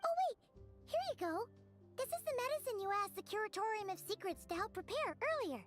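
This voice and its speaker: A woman voicing a small, chirpy floating fairy companion in a video game, with an excitable, squeaky voice. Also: fairly quick, soft background music. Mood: eager. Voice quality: very high, squeaky and bouncy. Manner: excitable, calls out loudly.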